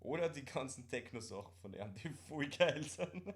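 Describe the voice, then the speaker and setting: A man's voice: mid-range voice, expressive, moderate pace. A man with an Austrian accent chatting casually as co-host of a live stream, in colloquial dialect and often repeating words.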